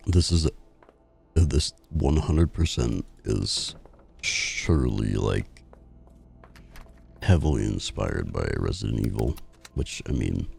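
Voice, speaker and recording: A man's voice: a bass voice, animated, slow, vocal fry, pauses often, a little mellow, faint music behind. A man playing a horror game and commenting casually, sniffly as he gets over a cold. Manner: casual, reads game text aloud. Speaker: gaming YouTuber. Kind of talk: let's play commentary.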